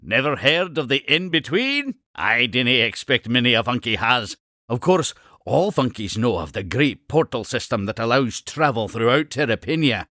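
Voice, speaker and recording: A man's voice: medium pitch, very animated, normal speed, sharp tone, no echo, clean studio sound. A boastful scottish inventor critter for a game, showman patter, voice leaps all over the place. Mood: mysterious, enthusiastic. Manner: theatrical, showman-like, chuckling salesman patter. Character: eccentric, boastful creature explorer, inventor and salesman. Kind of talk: scripted video game character speech.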